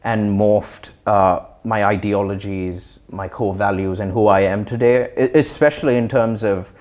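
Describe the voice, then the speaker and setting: A young man with a slightly low-pitched voice speaks as an interview guest. He is from Sri Lanka. He phrases his reflections in a measured, thoughtful and articulate way. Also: steady tone, darkish tone. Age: young adult.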